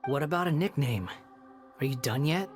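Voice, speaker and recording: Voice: mid-range voice, expressive, normal speed, lightly acted. An actor plays a sardonic young male wanderer in a game cutscene. He is aloof and curt, proud and brooding, with dry delivery over faint music. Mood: dismissive. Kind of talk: video game cutscene acting.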